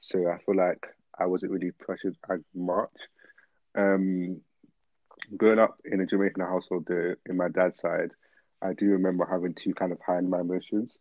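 A man's voice: low-pitched, flat delivery, normal speed, no echo. A nineteen-year-old man, talking casually and reflectively as a guest on a thin recording. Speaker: podcast guest, student. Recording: thin phone-like narrow band.

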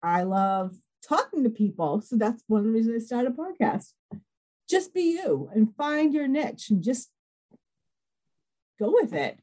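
A woman hosts a podcast and chats in a bubbly, self-deprecating way. Her voice sits a little high, and she laughs easily as she talks. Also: big pitch swings, unhurried, mellow. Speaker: podcast host. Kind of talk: casual interview chat.

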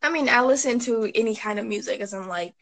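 A woman's voice: on the high side, quick pace, no room sound. Woman from bangladesh chatting, chill and matter-of-fact, says like a lot, dry sound. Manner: relaxed, matter-of-fact, uses "like" and "um". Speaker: conversation partner. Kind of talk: casual chat.

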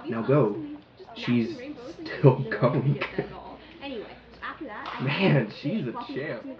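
A woman's voice: rumbling low, animated, drawn-out words, a crowd in the background, exaggerated voice. A woman playing a playful, laid-back shop coworker in an audio drama, casual and jokey. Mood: laid-back, joking. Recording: muffled shop recording with busy background sounds.

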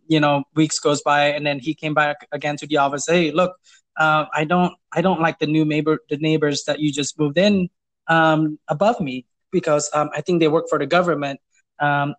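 A man's voice: on the high side, level tone, normal speed, a bit bright, poor audio. Hesitant man telling property tales. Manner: hesitant, many fillers and restarts; amused, chuckles while telling. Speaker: guest, property manager. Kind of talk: casual storytelling interview.

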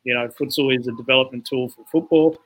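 Bright-voiced man explaining earnestly. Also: medium pitch, steady tone, fast, low-quality recording. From born in Zambia, raised in England. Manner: earnest, explains with many "you know" fillers. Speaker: guest, founder of a futsal organisation. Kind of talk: podcast interview conversation.

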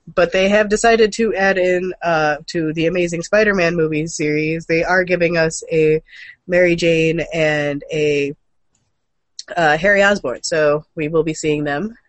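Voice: medium pitch, steady tone, measured pace, dry recording. A co-host chatting casually and informally, with a wry, joking way of talking. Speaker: co-host of a gaming and comics news show. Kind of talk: casual chat about comics, films and cartoons.

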